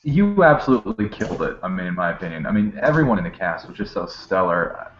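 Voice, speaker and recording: A man's voice: low-pitched, very animated, fast talker. A man chatting casually in an effusive, informal way. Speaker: cast member. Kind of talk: casual chat. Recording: echoey lo-fi call, faint room noise.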